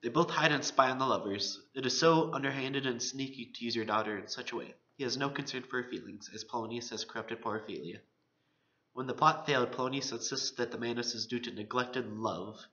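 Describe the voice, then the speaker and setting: A man's voice: mid-range voice, pushed and bright, lo-fi sound. A man, a college student presenting, reads aloud a scripted discussion of literature.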